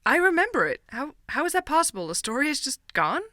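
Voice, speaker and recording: A woman's voice: high voice, big pitch swings, fast talker, bright, forceful tone, no room sound, clean studio sound, heavily acted. An actress playing a chatty female friend who discusses a missing-person case.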